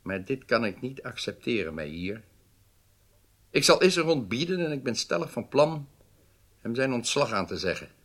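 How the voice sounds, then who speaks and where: A man's voice: medium pitch, big pitch swings, normal speed, a bit bright. Stern, commanding statesman. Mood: indignant. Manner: formal, weighty, emphatic, commanding. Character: stern, indignant male head of government. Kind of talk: radio drama acting.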